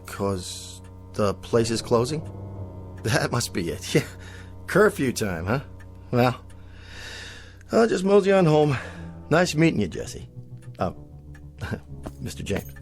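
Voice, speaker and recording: An actor playing a timid TV Western star in an audio drama, speaking with an American accent in a hesitant, placating way. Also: male voice, medium pitch, very animated, slow, gravelly, pauses often, full character voice. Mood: nervous, evasive. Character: timid, evasive actor who plays a TV Western marshal. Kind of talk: comic radio drama acting. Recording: music under the dialogue.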